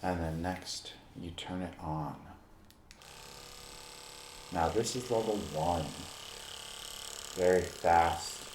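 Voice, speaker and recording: A man speaking in an ASMR roleplay, addressing the listener directly in short, unhurried phrases with pauses. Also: low voice, darkish tone, reverberant, slight background noise. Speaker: ASMR performer playing a basketball recovery expert.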